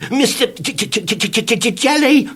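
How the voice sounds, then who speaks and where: A man's voice: very high voice, expressive, moderate pace, a bit bright, slightly rough, pitched above the performer's own voice, exaggerated voice. A man voicing a nervous little man who shrieks and stammers in melodramatic panic. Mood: stammering.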